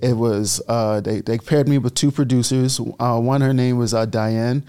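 A man's voice: medium pitch, steady tone, moderate pace, mellow, clear, resonant tone. A young man from the Bahamas, a guest entrepreneur telling his story in a casual interview and acting out the quotes.

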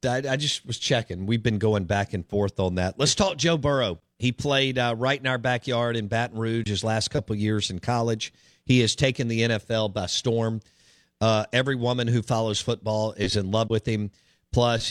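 A man's voice: mid-range voice, no echo. Dry-sounding American sports radio host, male. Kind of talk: casual sports interview. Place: radio studio.

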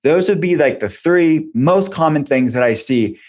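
A man's voice: fairly high pitch, lively intonation, normal speed, darkish tone. A man speaking as an expert guest in an interview, explaining point by point and repeating phrases for emphasis. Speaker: guest expert, functional medicine practitioner.

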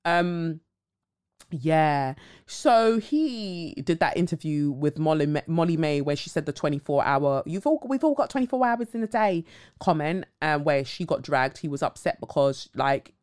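A woman's voice: low-pitched, expressive, normal speed, a little mellow, clean tone. A woman hosting a podcast, talking bluntly and casually, swearing freely, with dramatic asides. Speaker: podcast host. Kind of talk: casual commentary monologue.

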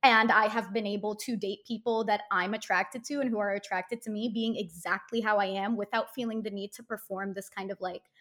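A young woman, an actress, speaking as a guest in a casual interview, loud, outspoken and joking. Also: medium pitch, fairly even intonation, fairly quick, hardly any pauses.

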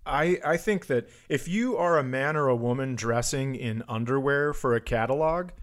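A man's voice: medium pitch, moderate pace. Casual comedic man, crude joker. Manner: crude joking, acts out quoted lines. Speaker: comedy podcast co-host. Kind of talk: casual comedic banter and storytelling.

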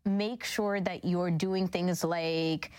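A woman's voice: on the low side, fairly even intonation, takes it slowly, a little mellow. Conversational woman co-hosting a radio show. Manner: conversational, explanatory. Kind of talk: casual explainer of a lifestyle article.

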